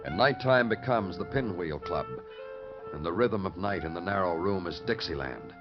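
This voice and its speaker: Casting: hardened American homicide cop, low voice, brooding poetic narration, vintage radio drama sound with music behind. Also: male voice, animated, moderate pace. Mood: grim, weary. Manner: terse, clipped fragments, poetic and world-weary. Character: hardened, brooding male New York homicide detective, narrating his cases. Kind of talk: 1950s radio noir acting and poetic narration. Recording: muffled old radio recording with music.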